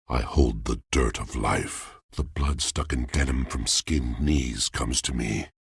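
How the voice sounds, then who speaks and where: An actor voicing a brooding, heartbroken washing machine in a video game, with theatrical, poetic declaiming and a gravelly voice. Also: male voice, no room sound, clean studio sound, heavily acted. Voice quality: very deep, gravelly husky. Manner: grandiloquent, poetic, dramatic declaiming. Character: melodramatic, poetry-obsessed living washing machine, brooding and heartbroken.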